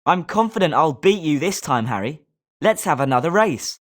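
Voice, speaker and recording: Lowish, animated British schoolboy played by a woman. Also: moderate pace. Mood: confident, challenging. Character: confident schoolboy wizard, sporting and competitive. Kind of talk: scripted video game character lines.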